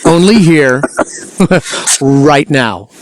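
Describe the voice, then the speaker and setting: A man's voice: on the high side, lively intonation, drawn-out words, slight background noise. Playful, jokey male host. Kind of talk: casual interview chat.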